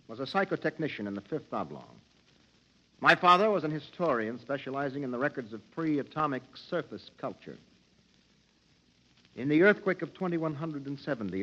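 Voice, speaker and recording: Bright-voiced defiant mutant prisoner. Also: male voice, mid-range voice, muffled recording. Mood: solemn. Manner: earnest, rhetorical, formal phrasing. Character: defiant mutant prisoner, impassioned and yearning for rights. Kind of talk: 1950s radio drama acting. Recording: 1950s radio recording.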